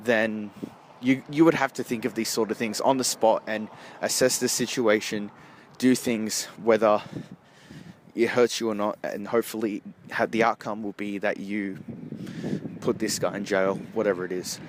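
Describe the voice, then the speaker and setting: A man giving a casual, rambling amateur movie review in a halting way, often rephrasing himself, recorded outdoors while walking along a street. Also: on the low side, fairly even intonation. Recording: noisy outdoor street with traffic. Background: street and vehicle noise.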